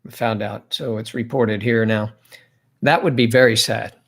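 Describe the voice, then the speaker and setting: A man's voice: on the low side, steady tone, moderate pace. A man hosting a casual livestream, rambling and chatting with his guests and reading viewer comments aloud. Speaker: livestream host.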